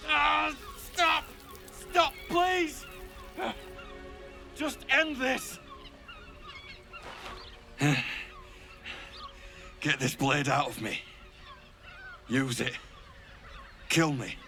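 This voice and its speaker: An actor voicing a wounded, dying man in pain, gasping and gravelly as he begs for an end. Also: very high voice, very slow, long pauses, sharp tone, exaggerated voice. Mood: agonized. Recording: game audio with music underneath.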